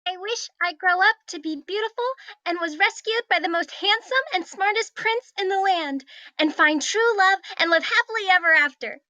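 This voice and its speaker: A woman's voice: very high voice, moderate pace, pushed and bright, dry recording, highs cut off. A woman playing an eager, dreamy six-year-old princess in a screenplay table read, with a girlish, singsong delivery. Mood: eager, wishful.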